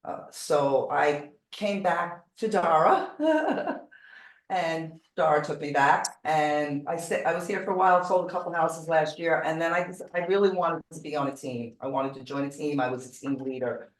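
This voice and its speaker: A woman's voice: low voice, lively intonation, moderate pace. An older woman in her sixties speaking casually and bluntly to a group, repeating her points for emphasis. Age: older, 60s. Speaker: real estate agent. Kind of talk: casual self-introduction to a group. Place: indoor group meeting room. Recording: echoey room, distant microphone.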